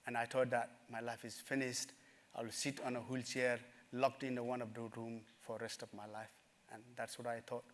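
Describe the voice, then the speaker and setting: Man, middle-aged, mid-pitched, earnest, halting with fillers, personal story talk. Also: little pitch movement. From Nepal. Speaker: speaker at a summit. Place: conference stage.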